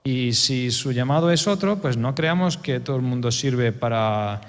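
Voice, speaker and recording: A man's voice: mid-range voice, lively intonation, normal speed. A man who grew up in Spain, a church elder, answering on a conference panel with many hesitations, building his points step by step. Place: conference hall. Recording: reverberant hall.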